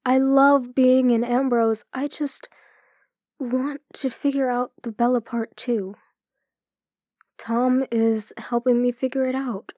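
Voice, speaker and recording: A woman's voice: high voice, little pitch movement, slow, mellow, no echo. An actress playing a guarded, tough female police chief in an audio drama, with a dry, firm manner. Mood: troubled, confiding.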